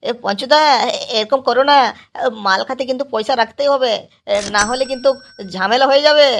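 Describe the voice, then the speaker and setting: A woman's voice: high-pitched, a little fast, a bit bright. A woman voicing a pushy, nagging male drinking buddy in a comedy cartoon, insistent and a little stammering.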